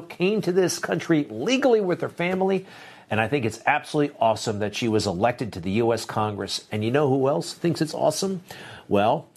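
Man, news host, opinionated commentary, sarcastic, lively pitch. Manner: animated, sarcastic, dismissive asides.

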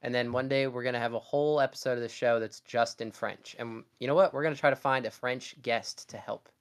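A man's voice: mid-range voice, fairly even intonation, a little fast. A young man co-hosting a podcast, chatting casually in a rambling, sarcastic way with frequent restarts. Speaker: podcast co-host. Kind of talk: casual chat.

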